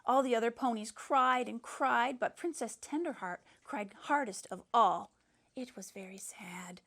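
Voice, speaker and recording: Sentimental schoolgirl spinning a princess fairy tale, woman reader, earnest and dramatic, highish voice, storybook read-aloud. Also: measured pace, a bit bright. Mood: sweet, dramatic.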